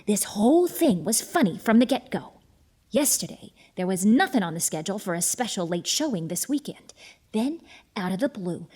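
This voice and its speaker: An actress playing an eager, chatty young 1940s cinema usherette, gushing and gossipy with wholesome old-fashioned slang in an audio drama. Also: female voice, mid-range voice, a little mellow, breathy edge. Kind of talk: film noir audio drama acting.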